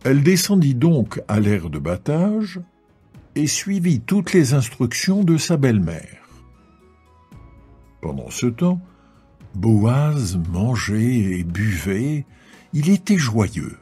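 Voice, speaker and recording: A man narrating dramatised scripture in a solemn, measured storytelling delivery. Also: medium pitch, big pitch swings, warm tone, husky, soft background music.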